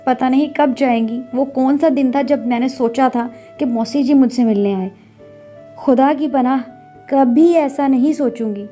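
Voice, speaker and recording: An earnest young daughter-in-law from a family storybook drama, played by a woman. Her voice is high and soft-edged, expressive, set over music. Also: unhurried. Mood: exasperated. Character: polite, eager young daughter-in-law, growing exasperated.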